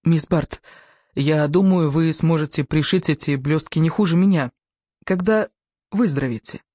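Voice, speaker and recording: A narrator voicing a kind, shy young woman from a hat workshop, speaking gently and tentatively. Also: mid-range voice, moderate pace, no echo, clean studio sound, close to an everyday voice. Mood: sympathetic.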